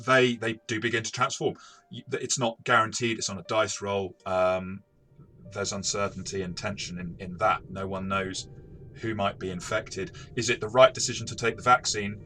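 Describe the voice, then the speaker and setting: A man's voice: on the low side, flat delivery, moderate pace, sharp tone. A man talking conversationally as a game master reviewing a game, circling his phrases and repeating words as he goes.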